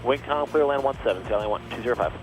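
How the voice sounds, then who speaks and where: Fast-talking male pilot under loud drone. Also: medium pitch, over loud music, rough recording. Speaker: pilot making radio calls. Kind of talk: quick radio readbacks. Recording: quiet radio call under loud engine drone.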